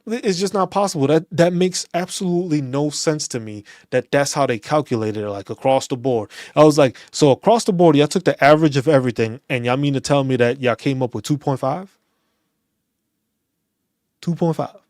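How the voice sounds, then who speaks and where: A man from New City, speaking in a slightly higher voice than most men. He rants in loose, casual talk, restarts and repeats himself, and is recorded close and dry. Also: fairly quick, dry recording. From New York City. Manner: animated, ranting, repeats phrases and restarts. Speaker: veterans' channel host.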